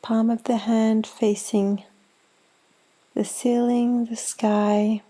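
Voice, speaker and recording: Woman, yoga nidra guide, very slow pace, soft-edged mellow tone, gentle and soothing, long pauses. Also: fairly high pitch, level tone. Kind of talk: guided meditation.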